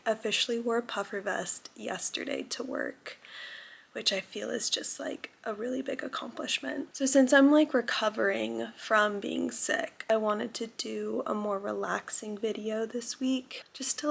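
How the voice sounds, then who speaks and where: Young woman, vlog host, husky cold-roughened voice, pitched a touch high, chatty filler-heavy delivery. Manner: chatty, says "like" often. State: recovering from a cold, a little raspy. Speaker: lifestyle vlogger. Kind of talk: casual chat to camera.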